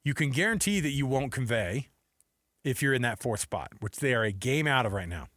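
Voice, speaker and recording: An American man delivers opinionated sports commentary as a radio host. His voice sits at a medium pitch, with an emphatic, conversational delivery. The studio sound is dry and close. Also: lively intonation, a little fast, a bit bright, no room sound.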